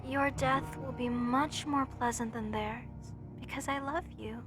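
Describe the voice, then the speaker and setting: Woman acting a high-voiced, obsessed lovesick mortician. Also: steady tone, music in the background. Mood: loving menace. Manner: slow, tender, deliberate, theatrical. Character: obsessed lovesick female mortician, sweetly menacing. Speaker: voice actor in an audio roleplay. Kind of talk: horror roleplay monologue addressed to listener.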